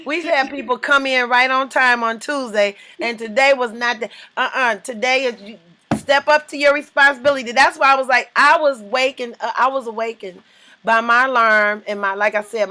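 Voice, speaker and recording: A woman co-host in casual morning chat, talking in a lively, emphatic, playful way. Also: fairly high pitch, normal speed.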